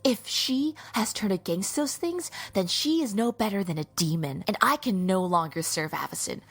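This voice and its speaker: A woman playing a devout, defiant young warrior in a fantasy audio drama, speaking earnestly and with deliberate conviction. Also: mid-range voice, animated, breathy edge. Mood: resolute.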